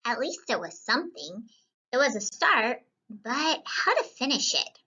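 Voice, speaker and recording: A woman plays a young student painter telling a storybook adventure. The voice is fairly high and very lively, with a bright edge. The delivery is wry and full of playful asides, on a slightly lo-fi recording. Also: a little slow, husky, heavily acted. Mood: playful, chatty. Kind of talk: scripted children's read-aloud.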